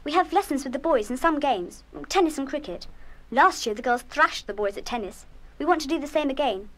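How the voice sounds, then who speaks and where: A woman's voice: high-pitched, animated, brisk. A woman playing a bossy older schoolgirl in charge of a dormitory, firm and officious, in a children's radio play. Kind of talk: full-cast children's radio play acting.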